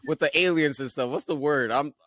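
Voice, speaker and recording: A man's voice: fairly high pitch, brisk, a bit bright. A man with a Liberian accent hosting a podcast interview in a casual, laid-back way, often repeating words and laughing at himself. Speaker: podcast host.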